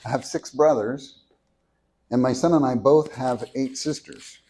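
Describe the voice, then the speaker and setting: A soft-spoken man reading a prepared talk into a handheld microphone at a meeting, measured and deliberate, with small restarts. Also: mid-range voice, rough recording. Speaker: speaker at a meeting. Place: meeting room with an audience.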